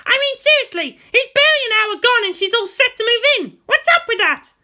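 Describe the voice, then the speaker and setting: Fiery indignant teen girl for a story, super high and shouty, huffy, swoopy pitch. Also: lively intonation, a little fast, full character voice. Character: fiery, indignant teenage girl, loud and bratty.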